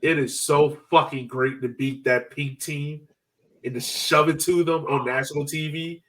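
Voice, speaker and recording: A man chatting casually as a sports fan podcast host, excited and slangy, swearing and repeating phrases for emphasis. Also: a little high, unhurried, far from the mic.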